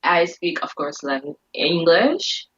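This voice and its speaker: A woman's voice: fairly low pitch, big pitch swings, slow, fairly bright tone, husky. Papiamento-speaking woman, dull lo-fi sound. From Caribbean. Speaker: guest. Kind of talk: casual conversation.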